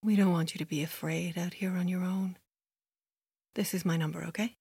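An Irish policewoman in a radio drama. Her voice is on the low side and husky, recorded dry. She is steady and reassuring as she coaxes out answers. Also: a little fast, no room sound. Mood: gently coaxing. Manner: reassuring, probing. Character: steady, coaxing female Irish police officer. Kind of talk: naturalistic audio drama acting.

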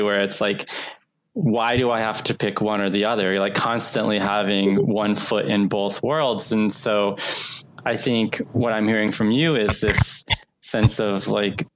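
A man's voice: lowish voice, little pitch movement, a little slow, a bit bright, a little hiss or hum, poor audio. A man born in Mexico hosting a casual conversation, reflective and thoughtful as he shares his experience.

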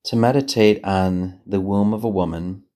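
Low, mellow man guiding a meditation. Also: fairly even intonation. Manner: gentle, unhurried, with long pauses. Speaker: priest leading a guided meditation. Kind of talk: guided meditation, reflective scripture reading.

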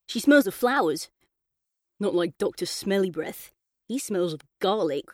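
A woman reading a children's audiobook as a chatty, earnest young boy telling his own story, expressive and colloquial. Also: mid-range voice, dry recording, studio quality. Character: boy narrator in a coma, chatty, frustrated, yearning. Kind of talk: first-person children's audiobook narration.

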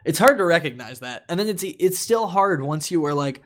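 Guy cohosting a podcast, voice a bit on the high side, emphatic casual talk, swears a bit. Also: animated, fairly quick, a bit bright. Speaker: podcast co-host.

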